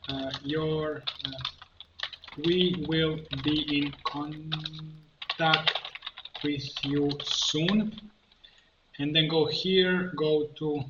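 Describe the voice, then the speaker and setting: A man giving an unscripted software tutorial, haltingly narrating each step as he works and thinking aloud. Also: on the high side. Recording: muffled lo-fi, roomy echo, background noise.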